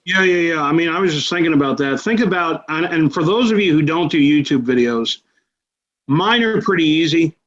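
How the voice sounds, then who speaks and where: A man's voice: on the high side, brisk, fairly bright tone, dull audio. A man in his thirties chatting casually as a podcast guest, blunt and profane in an offhand way. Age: 30s.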